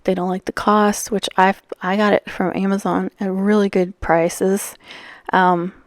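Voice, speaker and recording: A woman's voice: mid-range voice, flat delivery, unhurried, no echo, clean studio sound. A woman with a scratchy voice talking casually through a craft tutorial, rambling and chatty with lots of fillers. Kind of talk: casual voice-over while crafting.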